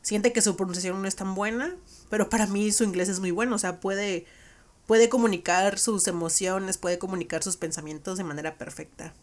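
A woman's voice: medium pitch, steady tone, normal speed. A woman with a Mexican accent hosting a podcast, recapping a conversation in a reflective, conversational way. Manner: reflective, conversational, frequent "¿no?" tags. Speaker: podcast host.

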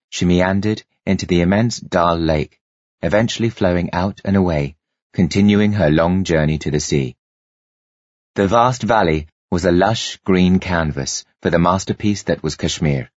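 Deep, husky male audiobook narrator. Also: moderate pace, no echo, muffled recording. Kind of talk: dramatic scripted narration.

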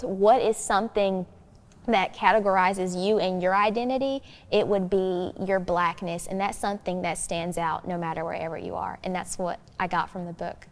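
Podcast guest, young woman, earnest and emphatic conversational delivery, very reverberant hall-like sound. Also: medium pitch. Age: young adult.

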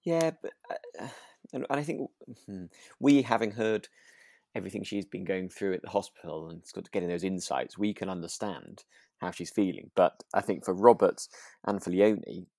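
A British man co-hosting a relaxed podcast, chatting casually and hesitantly, repeating words as he thinks aloud. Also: low-pitched, moderate pace, creaky, no echo, studio quality. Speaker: podcast co-host and actor.

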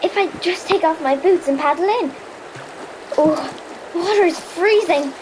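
A woman's voice: extremely high pitch, measured pace, background noise. A woman playing an adventurous young girl with an Irish accent in a fairy-tale audio drama. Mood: breathless, determined.

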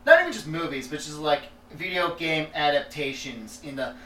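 Roomy, distant-sounding excitable man. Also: a little high, moderate pace. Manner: excitable, stammering, repeats phrases while searching for words. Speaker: podcast co-host. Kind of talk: casual chat about films and games. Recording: roomy, distant mic, faint noise.

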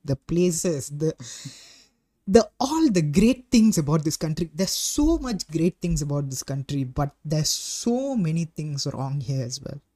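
A man's voice: on the high side, big pitch swings, measured pace, dark tone. An eighteen-year-old man with a Malaysian English accent, hosting a casual podcast chat, blunt and swearing freely. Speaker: podcast host.

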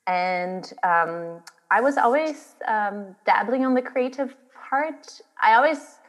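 A woman's voice: a little high, animated, slow pace, long reverb tail. A woman from Brazil, a drag artist and guest, telling her story in a chatty interview, laughing now and then. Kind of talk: interview chat.

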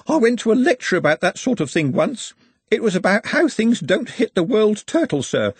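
A man's voice: high voice, sing-song, normal speed, slightly rough, no room sound, pitched above the performer's own voice, exaggerated voice. Man doing a polite earnest young assistant. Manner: polite, deferential. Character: earnest, mild young male assistant with a pencil.

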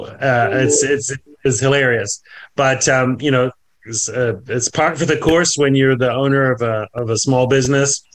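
A man from New Zealand speaks as a guest on a podcast, in a friendly way with fillers and repeated words. His voice sits at a medium pitch. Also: low-quality recording. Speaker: company founder, podcast guest. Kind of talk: podcast interview.

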